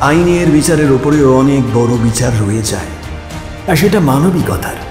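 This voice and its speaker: A solemn storyteller narrates a crime drama, voiced by a man. His slightly high male voice carries heavy echo and sits over clear music. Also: animated, moderate pace, lightly acted. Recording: echoey long reverb, music behind.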